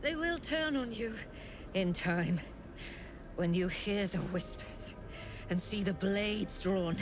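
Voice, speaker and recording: A woman playing a vengeful, contemptuous cult leader in a fantasy game, with a menacing, drawn-out, disdainful delivery. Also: medium pitch, music in the background, lightly acted. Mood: bitter warning. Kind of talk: dramatic fantasy game acting.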